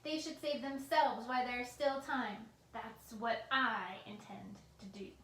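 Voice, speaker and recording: Woman voicing a shouting male villain scientist. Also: fairly high pitch, steady tone, unhurried, a little hiss or hum. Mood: gloating. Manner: yelling, cackling, turns syrupy. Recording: roomy echo with faint ambience.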